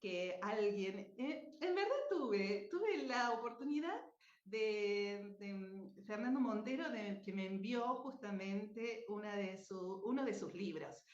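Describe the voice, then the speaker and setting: An Argentine woman giving an informal, effusive, anecdotal talk as a presenter. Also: fairly high pitch. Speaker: radio drama writer and speaker at a book fair. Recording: dull, echoey lo-fi room sound.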